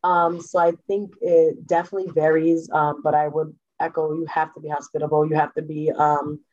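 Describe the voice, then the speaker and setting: A woman's voice: sits low, little pitch movement, moderate pace, darkish tone, dull audio. An outgoing, chatty woman from the Bronx, New York, talking as a podcast guest about hosting. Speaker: guest, Airbnb superhost. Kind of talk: casual podcast chat.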